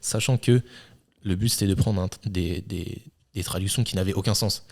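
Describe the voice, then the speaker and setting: Low-voiced hesitant male quiz host. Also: normal speed, gravelly, a little mellow. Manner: hesitant, lots of fillers. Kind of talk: casual chat.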